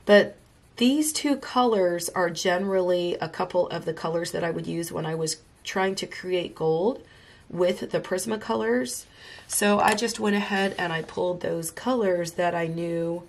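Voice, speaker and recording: A woman's voice: on the low side, a little mellow. A woman, slightly congested from illness, enthusiastically talking through a coloring tutorial as she works. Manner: enthusiastic, chatty, talks while drawing. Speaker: art tutorial host. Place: home craft desk.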